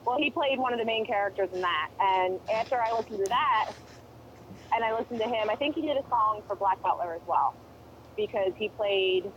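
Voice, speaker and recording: A woman's voice: medium pitch, fairly quick, highs cut off, slight background noise. A woman co-hosting a podcast, chatting casually and rambling with opinions and fillers. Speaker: podcast co-host. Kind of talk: casual chat.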